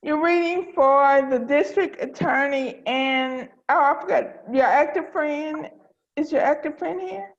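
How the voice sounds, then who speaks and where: Woman, high voice with vocal fry, informal organizer chatting on a muffled video call. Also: measured pace. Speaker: organizer and host of table read. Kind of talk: casual video-call chat. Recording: dull lo-fi video call audio.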